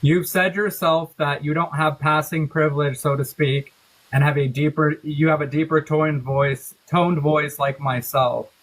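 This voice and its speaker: A man's voice: a little high, steady tone, measured pace, a bit bright, poor audio. Man putting a direct question. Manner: direct, probing. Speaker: interviewer. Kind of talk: interview question.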